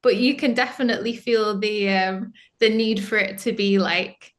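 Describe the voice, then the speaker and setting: A young woman talks casually as a podcast guest, chatty and full of fillers, often breaking into laughter. Her voice sits at an ordinary middle pitch. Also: fairly even intonation, normal speed. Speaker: band singer, interview guest. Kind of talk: casual interview chat.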